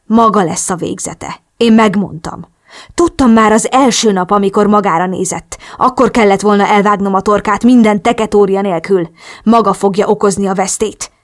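A man's voice: sits high, fairly even intonation. A woman voicing a hot-tempered, hostile man in a storybook, accusing and threatening in angry outbursts. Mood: furious, accusing.

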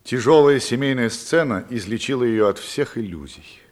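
A man's voice: mid-range voice, normal speed. A man narrating a radio drama from a script, setting the scene in a dramatic storytelling style.

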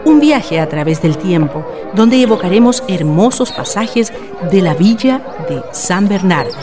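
A woman's voice: medium pitch, big pitch swings, unhurried, over a noisy crowd. A woman narrating a scripted radio drama in a lyrical, formal storytelling manner. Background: prominent music with guitar.

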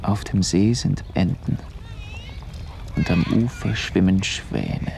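A man in a literary radio play, playing a brooding observer who lists what is around him in halting fragments. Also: deep voice, exaggerated voice. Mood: observing. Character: male observer beside a lake, slow, brooding, dwelling on details. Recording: clear outdoor ambient noise behind voice.

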